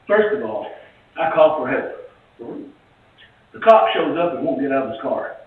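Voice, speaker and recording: A man's voice: medium pitch, very animated, moderate pace. A man angrily complaining about his treatment, swearing and speaking in short bursts. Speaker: citizen complaining at a police station. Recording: tinny, echoey clip from far off.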